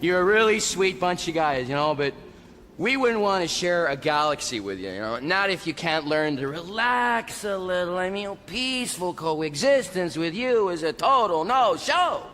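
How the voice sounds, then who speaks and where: An actor playing a brash, laid-back alien judge who talks in slangy hipster patter in a radio comedy. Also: male voice, sits high, lively intonation, moderate pace, pushed and bright. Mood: breezy, swaggering. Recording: long echoing hall, noisy ambience.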